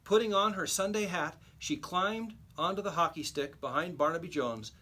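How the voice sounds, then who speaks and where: A man reading a children's storybook aloud like a teacher, with expressive, emphatic storytelling. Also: high voice, moderate pace.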